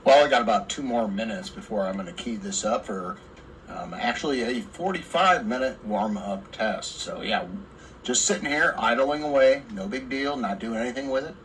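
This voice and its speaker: Male technician-presenter, average pitch, casual and rambling hands-on commentary with some background noise. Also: moderate pace, a bit bright, poor audio. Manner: rambling, repetitive, enthusiastic about the equipment.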